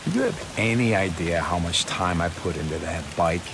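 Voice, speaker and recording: An actor playing a terse, sardonic, hot-tempered drifter biker in a video game cutscene. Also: male voice, sits low, normal speed. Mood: angry. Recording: clear rain-like noise behind.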